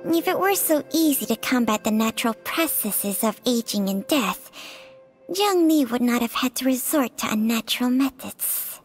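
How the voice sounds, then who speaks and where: A woman voicing an ancient, aloof female snake spirit in a game, speaking in a measured, half-whispered way. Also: a little high, animated, faint music behind, close to an everyday voice. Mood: detached. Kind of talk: game voice acting.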